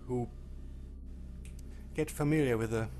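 A man whose first language is German, talking over a game menu in halting phrases that break off mid-sentence. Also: medium pitch, mellow. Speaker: let's play commentator. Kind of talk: game commentary with disclaimers. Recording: faint game menu music behind.

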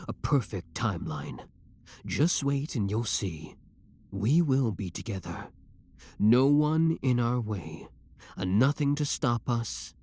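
A man playing an obsessive, menacing young villain with cold devotion, speaking deliberately and theatrically in a scripted ASMR roleplay. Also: mid-range voice, darkish tone. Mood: smug, triumphant.

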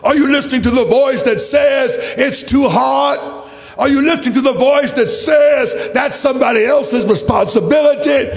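High-pitched, impassioned male preacher in echoing hall. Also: normal speed, gravelly. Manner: declamatory, emphatic, repetitive rhetorical phrasing. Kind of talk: impassioned church sermon. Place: large church. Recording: reverberant hall, faint music.

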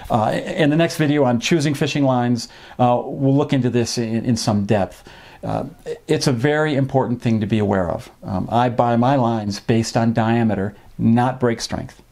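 Instructor, male, 50s, medium pitch. Informal explainer, measured and slightly hesitant, speaking in a small indoor room. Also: a little mellow. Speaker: fishing instructor and presenter. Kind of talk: informal instructional talk to camera. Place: small home office, indoors.

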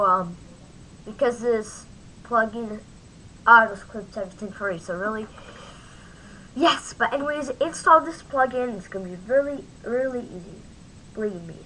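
Casting: female tutorial host, bright forceful tone, informal and halting, home recording with faint noise and rumble. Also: rough recording. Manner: informal, halting, self-interrupting, talks to self. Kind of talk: casual screen-recorded software tutorial. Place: at home, at a computer.

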